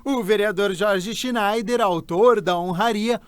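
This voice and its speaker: A man with a Brazilian accent reading scripted news narration in an even, formal way. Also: sits high, unhurried, clean tone, dry recording. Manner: even, formal newsreader delivery. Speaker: news reporter.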